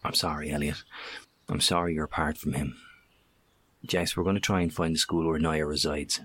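An actor in an audio horror drama playing a steady, weary, earnest survivor with a measured, sincere manner. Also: male voice, a bass voice.

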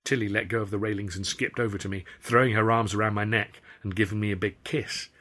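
A man reading his own comic novel aloud as a first-person storyteller, in animated audiobook narration. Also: on the low side, fast talker.

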